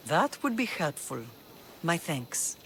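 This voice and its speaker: A woman's voice: low voice, sing-song, slow pace, a bit bright, a little hiss or hum, exaggerated voice. A woman acting a proud, determined Spartan mother in a video game, middle-aged, grave and composed. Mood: watchful.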